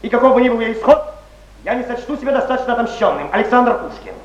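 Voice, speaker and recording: Agitated, high-pitched, rapid-fire wounded poet. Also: male voice, lively intonation. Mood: bitter fury. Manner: impassioned, declamatory. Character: agitated, wounded poet defending his honour. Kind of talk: theatrical stage declamation. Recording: echoey, muffled 1970s theatre recording, faint hiss.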